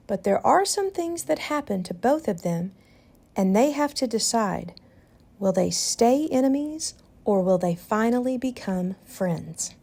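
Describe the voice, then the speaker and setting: Animated, slow-paced woman reading to kids. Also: a little high, creaky. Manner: deliberate, animated. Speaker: storytime host reading aloud. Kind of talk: expressive children's storybook narration.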